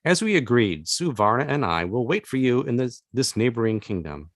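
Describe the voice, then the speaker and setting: A man reading his own literary fantasy novel aloud as narration, with measured, deliberate phrasing and pauses. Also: medium pitch, big pitch swings, no room sound, studio quality. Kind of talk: literary audiobook-style narration of a fantasy tale.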